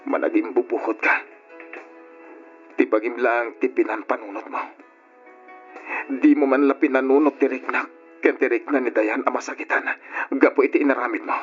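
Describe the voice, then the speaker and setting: A man playing an anguished, betrayed young man in an old-style Ilocano radio drama, with an Ilocano accent and emotional, reproachful, drawn-out delivery. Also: mid-range voice, fairly even intonation, soft background music, lightly acted. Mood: bitter, resigned. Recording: dull, muffled radio drama recording.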